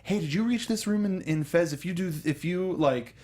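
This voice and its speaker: A man's voice: high voice, normal speed, darkish tone. A man co-hosting a casual talk show, chatting in stop-start bursts with repeated words and slipping into mimicked quotes as he tells things. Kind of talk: casual film and video game discussion.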